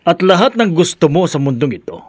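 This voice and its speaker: A man's voice: a little high, big pitch swings, fairly quick, noisy background. An actor playing the persuasive henchman of a sinister master in a horror radio drama, formal, assured and coaxing.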